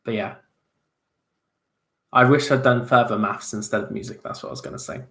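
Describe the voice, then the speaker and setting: A man's voice: fairly low pitch, expressive, fairly quick, vocal fry, fairly bright tone. A young man chatting casually as he answers viewer questions on a livestream, self-deprecating, with many fillers and restarts. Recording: roomy echo.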